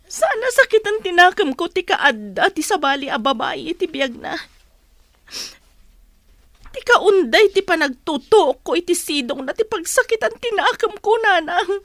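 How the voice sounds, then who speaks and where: A woman's voice: very high voice, very animated, moderate pace, a bit bright, no echo, heavily acted. A woman acting the part of a hurt but resolute wife and mother in a radio-style drama, emotional and plaintive. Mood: tearful, defiant. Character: hurt, resolute wife and mother leaving her cheating husband.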